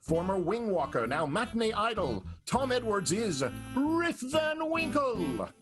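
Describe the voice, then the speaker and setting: High-voiced man announcing over prominent music. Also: sing-song, a little slow. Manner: playful, showy, dramatic. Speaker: host and narrator. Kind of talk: theatrical radio show announcing. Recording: music bed behind the voice.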